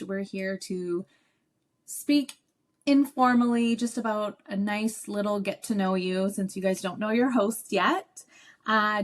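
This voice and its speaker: Animated woman podcast host in her thirties. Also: mid-range voice. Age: 30s. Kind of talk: casual chat.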